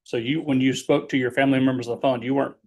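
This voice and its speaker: Male courtroom lawyer, mid-pitched and fairly monotone, patiently spelling out each question as defense counsel. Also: slightly rough. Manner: measured, explanatory, checks answers with leading questions. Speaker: defense attorney questioning his client.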